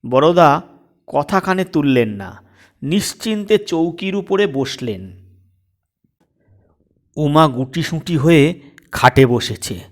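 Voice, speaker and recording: A man reading a comic story aloud as narrator, in an expressive, deliberate style. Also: a little high.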